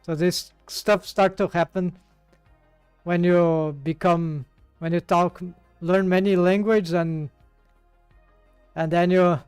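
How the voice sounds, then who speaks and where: A man's voice: high voice, level tone, slow, pauses often, a little mellow, smooth, no room sound, faint music behind. A man with a Brazilian accent, working through a 3D rigging task live and thinking aloud with many fillers and restarts. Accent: Brazilian Portuguese. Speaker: 3D rigging streamer. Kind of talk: live tutorial while working.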